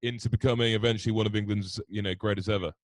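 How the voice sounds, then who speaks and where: A man's voice: fairly low pitch, fairly even intonation, rapid-fire, no room sound. A man interviewing a guest, asking questions in a playful, mock-formal way. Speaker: co-host, interviewer. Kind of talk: podcast interview.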